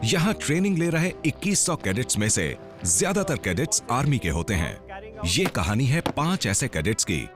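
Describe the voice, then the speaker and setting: A man giving scripted, dramatic documentary narration. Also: medium pitch. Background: music.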